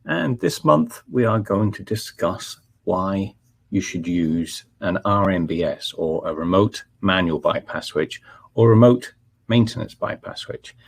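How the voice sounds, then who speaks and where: A middle-aged man with a Scottish accent opens a live technical webinar in a very low, gravelly voice with a husky edge. He talks in a loose, conversational way, filling pauses with ums and restarting his sentences. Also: animated. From Scotland. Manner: conversational, many fillers and restarts. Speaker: webinar presenter, technical manager.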